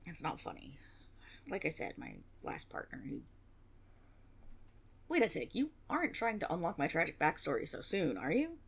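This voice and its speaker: A woman's voice: low-pitched, huge pitch swings, moderate pace, long pauses, exaggerated voice. A woman playing an eager, nervous young female tiefling rogue in an intimate fantasy audio roleplay, rambling and stammering with a teasing streak. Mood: playful, teasing. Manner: nervous rambling with stammers, sighs and breathy giggles.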